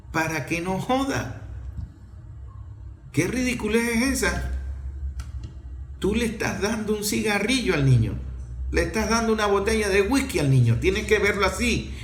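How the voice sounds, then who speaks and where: A man's voice: on the high side, roomy sound. A middle-aged man with a Venezuelan accent giving an impassioned opinion talk in an emphatic, scolding way, full of rhetorical questions.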